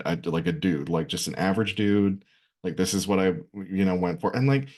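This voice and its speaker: A man co-hosting a casual podcast, speaking hesitantly with many pauses and fillers. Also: low voice. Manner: hesitant, lots of fillers and restarts. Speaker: podcast co-host.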